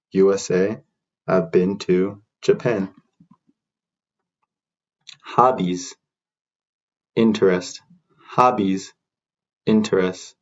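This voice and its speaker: A man teaching beginner English, repeating short phrases slowly for learners to copy. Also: deep voice, dull audio. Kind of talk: slow repeat-after-me drill.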